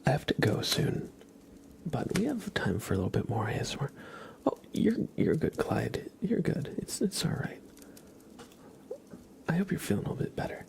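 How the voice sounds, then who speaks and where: A man who streams ASMR, chatting softly and casually with his viewers and greeting them by name. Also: on the high side, huge pitch swings, normal speed, vocal fry, long pauses. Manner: soft-spoken, chatty, addressing viewers by name. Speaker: ASMR livestreamer. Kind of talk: whispered ASMR, casual livestream chat.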